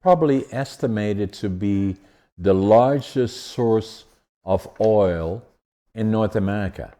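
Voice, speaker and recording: A man's voice: fairly low pitch, slow, dark tone. A man hosting an interview podcast, chatting casually and often restarting his questions as he goes. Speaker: podcast host.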